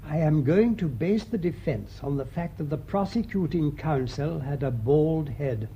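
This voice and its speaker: A British man acting a thoughtful, shrewd priest sleuth in a radio mystery, speaking in a measured, deliberate way. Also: animated, warm tone. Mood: shrewd, assured. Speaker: actor in the lead detective role. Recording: muffled radio recording with faint rumbling noise.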